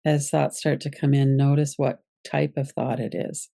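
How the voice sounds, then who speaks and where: A woman guiding a yoga nidra meditation as its teacher, giving gentle, unhurried instructions with pauses. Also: very deep voice, flat delivery, gravelly, no echo, studio quality.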